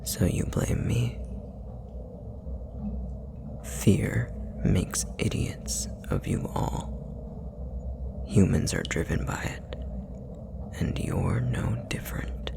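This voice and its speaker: A man voicing a possessive, manipulative demon in an intimate ASMR roleplay, whispering with a gravelly fry in a deliberate, taunting way. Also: lowish voice, wildly swooping pitch, heavily acted. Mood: cold, accusing. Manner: slow, deliberate, taunting, condescending. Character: possessive, manipulative male puppetry demon, coldly menacing.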